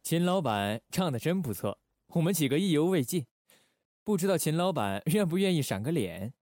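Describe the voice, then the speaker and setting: A man playing a calm, shrewd secret-service station chief with understated authority in a radio drama. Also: on the high side, moderate pace, dry recording, studio quality. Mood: composed.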